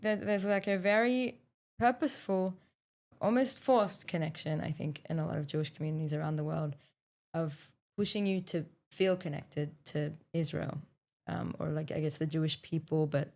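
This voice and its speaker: Low-voiced woman, halting and thoughtful. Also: creaky, a little mellow. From South Africa, raised in Australia. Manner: thoughtful, halting, many fillers and restarts. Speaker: podcast co-host. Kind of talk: casual podcast conversation.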